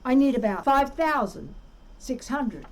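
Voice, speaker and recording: A woman's voice: high voice, big pitch swings, unhurried, a little mellow, exaggerated voice. A woman voicing a pompous, power-hungry male turtle king in a children's storybook, bellowing grandly and bossing everyone about. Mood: indignant, defiant. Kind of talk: children's storybook read-aloud.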